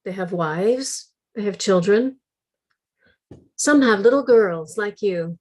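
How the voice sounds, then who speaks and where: An actress playing a thoughtful middle-aged refugee woman in a dramatic monologue, telling her memories in a measured way. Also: mid-range voice, expressive, a little mellow. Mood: wistful, remembering. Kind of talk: dramatic monologue at a staged script reading.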